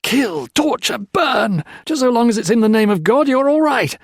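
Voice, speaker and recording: A man reading a story voices a young officer who rants and shouts in hysterical fury. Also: extremely high pitch, animated, normal speed, creaky, breathy edge, no room sound, clean studio sound, pitched above the performer's own voice, full character voice. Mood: raging. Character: young British officer, feverish, furious, ranting.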